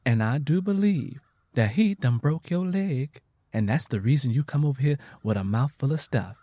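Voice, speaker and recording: A man's voice: medium pitch, lively intonation, moderate pace, dark tone, no room sound, studio quality, lightly acted. A man voicing a wary, teasing Southern country wife in her twenties, with blunt, folksy dialect, in an audio story. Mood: teasing, suspicious.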